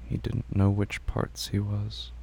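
A man narrating a scripted horror audio drama in terse, grave, deliberate phrases with long pauses. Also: on the low side, completely flat delivery, gravelly, mellow, slight background noise.

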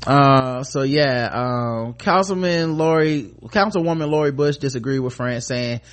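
A man's voice: medium pitch, a little slow. An American man hosting a podcast, giving casual, comedic news commentary in an irreverent, sarcastic, sweary way. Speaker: podcast host.

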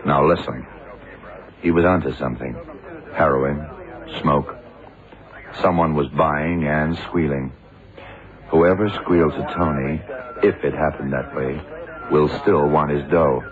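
Very deep, deliberate police detective. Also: male voice, fairly even intonation, a crowd in the background. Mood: brooding, businesslike. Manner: deliberate, clipped short phrases. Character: shrewd, brooding male police detective in charge. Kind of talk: 1970s radio drama acting. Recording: muffled old radio broadcast, background din.

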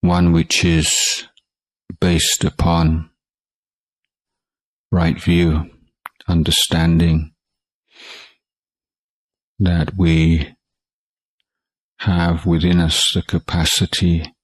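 A man teaching guided meditation in a calm, measured way, leaving long pauses between short phrases. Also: low-pitched, no room sound, poor audio. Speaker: Buddhist monk meditation teacher.

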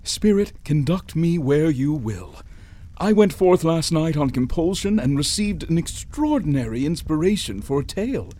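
A man's voice: on the high side, sing-song, normal speed, dark tone. An actor playing a timid male writer in a radio play, speaking earnestly and deferentially.

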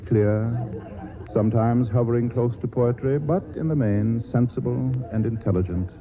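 An actor playing a glib, self-satisfied writer with urbane, dry wit in a 1940s radio play. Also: male voice, medium pitch, moderate pace, lightly acted. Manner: glib, urbane, drily witty. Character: suave, self-satisfied, mercenary male writer and charmer. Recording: noisy, tinny old radio broadcast.

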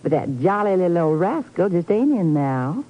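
A woman's voice: fairly low pitch, very animated, normal speed. A woman playing a flirtatious, folksy, deceptively sweet receptionist with a drawling Southern US accent in a 1950s radio drama. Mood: flirtatious, coy. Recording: muffled old radio recording.

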